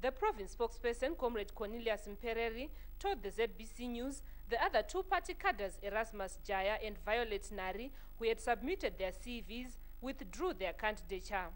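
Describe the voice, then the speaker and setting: A woman's voice: on the high side, animated, normal speed, few pauses, rough recording. A woman reporter with a Zimbabwean accent narrating a scripted television news report.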